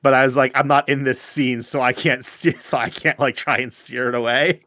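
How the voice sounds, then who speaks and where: A man's voice: mid-range voice, steady tone, moderate pace, bright, forceful tone, no room sound, clean studio sound. A man co-hosting a podcast in casual chat, talking somewhat hesitantly. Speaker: podcast co-host.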